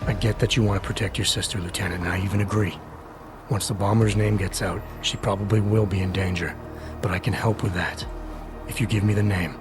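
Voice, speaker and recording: An actor playing a stern, composed agent in a video game, questioning a suspect in a controlled, probing way. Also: male voice, fairly low pitch, steady tone, fairly quick, over music, natural voice. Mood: firm, reasoning. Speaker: investigator. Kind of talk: video game dialogue acting.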